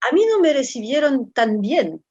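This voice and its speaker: A woman with a French accent answers an interviewer in a thoughtful, halting way, circling back on her words before she finds them. Her voice sits fairly high and sounds close and dry. The recording is dull and low-fidelity. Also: lively intonation, a little mellow, breathy edge, no echo. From France. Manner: thoughtful, halting, repeats words while searching. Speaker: interview guest, professor. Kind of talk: reflective conversational interview.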